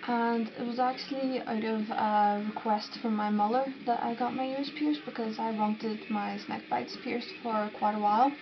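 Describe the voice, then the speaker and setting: A woman's voice: a little high, fairly even intonation, normal speed, few pauses. A young woman from Northern Ireland with a Northern Irish accent, chatting casually to camera and answering viewer questions. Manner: chatty, rambling, with fillers and restarts. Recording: dull lo-fi sound with noticeable background noise.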